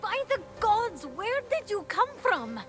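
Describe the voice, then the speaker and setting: A woman's voice: very high voice, big pitch swings, slow, fairly bright tone, soft background music, full character voice. A woman playing a plucky young hero in a video game, earnest and wry in manner. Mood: earnest, surprised. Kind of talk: video game cutscene acting.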